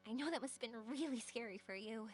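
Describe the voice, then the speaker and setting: A woman playing a kind, reassuring young adventurer in a video game, speaking in a gentle, caring way. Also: fairly high pitch, fast, slight background noise, natural voice.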